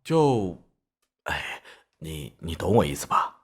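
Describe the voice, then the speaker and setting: A sheepish working-class driver in his forties for an animated crime series. The voice is deep but lively in pitch, with a folksy, deferential, hesitant way of talking to authority. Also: slow pace, noisy background, heavily acted. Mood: hesitant, confiding. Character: sheepish, henpecked 45-year-old working-class male driver. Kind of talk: animated crime drama dialogue.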